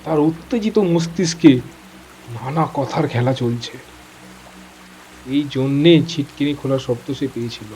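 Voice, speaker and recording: A man's voice: medium pitch, a little slow, long pauses, a little mellow, faint music behind. A man narrating a horror story in a suspenseful, theatrical, dramatic manner.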